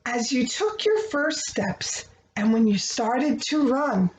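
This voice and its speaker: Very slow, animated woman reading a storybook. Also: on the high side. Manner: expressive, deliberate phrasing for young children. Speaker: children's book reader. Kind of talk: read-aloud storytelling of a rhyming picture book. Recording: roomy echo, faint background noise.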